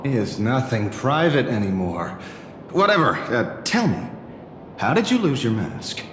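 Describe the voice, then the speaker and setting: A man's voice: mid-range voice, very animated, measured pace, fairly bright tone, reverberant, big-room sound, slight background noise. An actor playing a sardonic, theatrical, down-on-his-luck detective in a video game. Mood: nonchalant.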